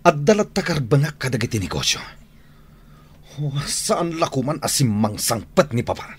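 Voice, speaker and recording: A man's voice: mid-range voice, sing-song, moderate pace, slightly rough. A man playing a resentful, suspicious grown son of a crime boss in an Ilocano radio drama, brooding and dramatic. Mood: bitter. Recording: noisy AM radio drama recording.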